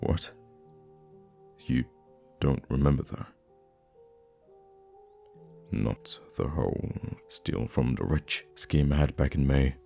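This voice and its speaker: A man playing a theatrical, easily flustered supervillain with a soft spot for his hero nemesis, in an ASMR roleplay monologue with a gravelly voice. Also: very deep voice, takes it slowly, long pauses, faint music behind, exaggerated voice. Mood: probing. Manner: dramatic, flustered, teasing, stammering when thrown off.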